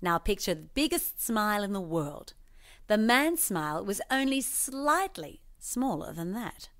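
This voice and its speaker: A woman reading a scripted children's storybook as parody, expressive and mock-earnest in her storytelling. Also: medium pitch, measured pace. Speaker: storybook narrator.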